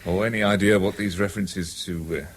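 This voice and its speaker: A polite English police sergeant in a seventies radio play. His voice is low and husky, and he speaks in a measured, official, methodical way. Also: fairly even intonation. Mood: official, calm. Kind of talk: 1970s British radio drama acting.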